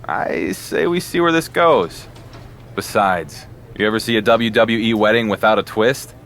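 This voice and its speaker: A man's voice: fairly low pitch, unhurried, a bit bright, slight background noise. An actor voicing an earnest male wrestling champion in a video game cutscene, talking in a plain, wary way.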